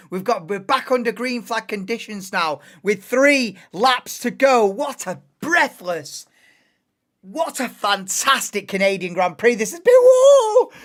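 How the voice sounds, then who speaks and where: A woman hosting a live race commentary stream, excitable and bursting into shouts and laughs, her voice going hoarse. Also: fairly high pitch. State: losing voice, hoarse.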